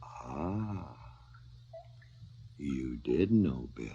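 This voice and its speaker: Slow, sardonic, swooping cop. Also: male voice, a bass voice, animated, dark tone, husky, full character voice. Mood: wry, teasing. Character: wry, fatalistic male cop, slow and mocking. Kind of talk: 1970s stereo radio drama acting. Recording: slightly dull 1970s radio recording.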